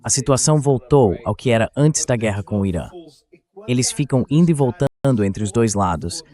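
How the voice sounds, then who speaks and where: A man's voice: medium pitch, expressive, a little fast, mellow. A man with a Brazilian Portuguese accent, a synthetic voice-over for a conversational news discussion.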